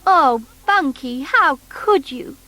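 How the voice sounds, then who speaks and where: A woman voicing a small, earnest, excitable toy boy in a children's storybook, with an emphatic, childlike delivery. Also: high-pitched, slow, pitched above the performer's own voice, exaggerated voice. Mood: hurt, sad.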